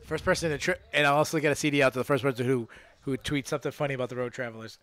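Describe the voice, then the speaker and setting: Man, podcast host, quick talker, smooth clear voice, playful announcer tone. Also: mid-range voice, fairly even intonation, a bit bright. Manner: joking, hype-announcer delivery. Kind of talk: casual live podcast chat.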